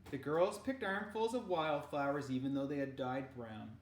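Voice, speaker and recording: A woman reading a children's novel aloud in an animated storyteller's way, dramatizing the dialogue. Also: extremely low pitch, normal speed, a little hiss or hum. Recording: roomy echo.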